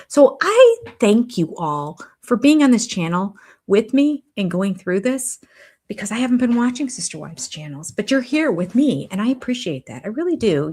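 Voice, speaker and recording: A woman's voice: mid-range voice, darkish tone, breathy edge. An older woman hosting a casual watch-along stream, chatting about a reality show and reading viewer comments aloud. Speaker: YouTube host.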